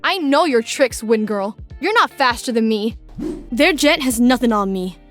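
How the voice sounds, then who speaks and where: A woman's voice: a little high, expressive, normal speed, faint music behind, close to an everyday voice. A woman acting a cocky, competitive young game fighter, boastful and taunting.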